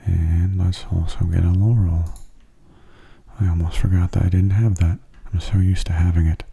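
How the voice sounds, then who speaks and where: A man giving soft-spoken, half-whispered ASMR commentary over a video game, in a gentle, unhurried way. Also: deep voice, flat delivery, gravelly, breathy edge.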